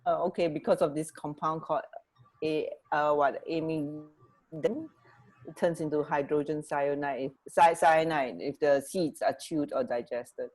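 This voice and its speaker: A woman's voice: low voice, steady tone, a little slow, darkish tone, low-quality recording. Casual woman co-hosting radio chat. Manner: giggly, informal. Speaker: radio co-host. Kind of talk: casual chat, sharing trivia.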